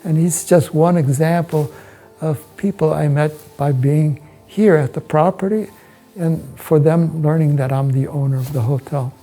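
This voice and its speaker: American male, hotel owner telling his story on camera; slightly high pitch, gravelly edge, measured phrasing. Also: warm tone, faint music behind. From United States. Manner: measured, halting delivery in short phrases. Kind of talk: promotional interview, personal recollection. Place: indoors, hotel suite.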